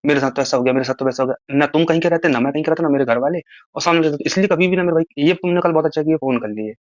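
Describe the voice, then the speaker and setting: Very fast-talking man on a phone call. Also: a little high, no room sound. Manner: rapid, pleading, lecturing. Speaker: caller. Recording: dull phone call recording.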